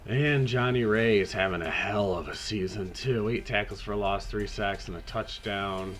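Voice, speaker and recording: A man hosting a podcast, talking casually through a video game season in a raspy voice, hoarse from a cold, laid-back and rambling with the odd swear. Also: on the low side, normal speed, a bit bright, soft background music. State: hoarse, getting over a cold. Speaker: podcast host.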